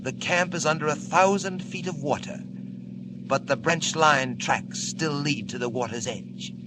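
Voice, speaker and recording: A man's voice: fairly high pitch, a little slow, natural voice. Man playing a cold, scheming radio-serial gang boss. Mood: smug, explanatory. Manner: suave, menacing, condescending to his henchman. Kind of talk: 1940s radio serial acting. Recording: noisy vintage radio recording.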